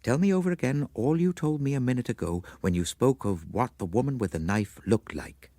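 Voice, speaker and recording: A man's voice: mid-range voice, expressive, a little fast, dark tone, dry recording, voice pushed up. A man voicing a firm, anxious, superstitious elderly mother in an audiobook story, urgent and commanding. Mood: firm urgency.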